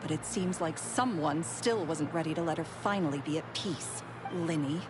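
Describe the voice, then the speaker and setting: A woman acting a bitter, world-weary and defiant former phantom thief in a dramatic video game, with deliberate, theatrical storytelling. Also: sits low, background noise, natural voice. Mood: accusing, surprised.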